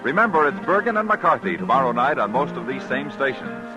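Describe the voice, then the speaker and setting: Animated American man, vintage radio announcer. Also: mid-range voice, fairly quick, over music. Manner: formal, polished delivery. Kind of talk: 1950s network radio announcing. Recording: dull old radio broadcast recording.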